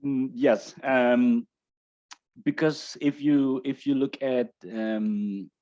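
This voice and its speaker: A man from Vietnam with a Vietnamese accent, explaining his research as an interview guest in a hesitant, halting way. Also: mid-range voice, steady tone, dark tone. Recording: dull lo-fi audio.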